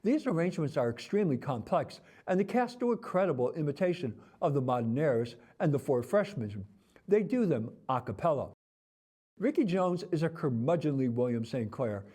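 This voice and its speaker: A man reading a scripted theater review as a critic, with articulate, expressive and theatrical phrasing. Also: on the high side, normal speed, husky.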